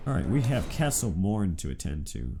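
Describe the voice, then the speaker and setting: A man's voice: deep voice, very animated, normal speed, dark tone, slight background noise. A man with a nasal, congested voice from allergies, giving casual commentary as he plays a video game. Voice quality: stuffy-nosed, nasal. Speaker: video game player and narrator. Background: music.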